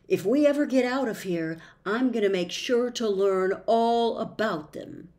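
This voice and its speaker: A woman's voice: fairly high pitch, a little slow, a little mellow, lightly acted. A woman voicing an earnest young boy in a storybook, plain-spoken and a little timid.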